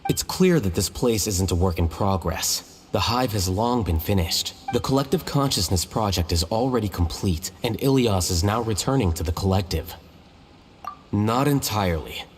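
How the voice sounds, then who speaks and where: Man as a low-voiced, aloof young scholar. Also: animated, a little hiss or hum, close to an everyday voice. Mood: aloof, composed. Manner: measured, matter-of-fact. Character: calm, aloof, analytical young male scholar. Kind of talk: video game voice acting. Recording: long reverb with music behind.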